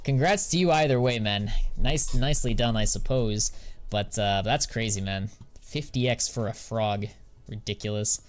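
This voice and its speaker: A man's voice: lowish voice, moderate pace. Laid-back slangy American guy streaming. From United States. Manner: slangy and laid-back, drawls filler words. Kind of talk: casual live-stream chat, answering viewers.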